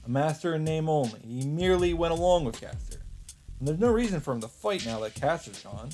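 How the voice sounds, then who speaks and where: A man's voice: fairly high pitch, lively intonation, brisk, dark tone. Man narrating over faint music. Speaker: let's play host. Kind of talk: reading game narration aloud with casual asides. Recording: game music faintly behind voice. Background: faint game music.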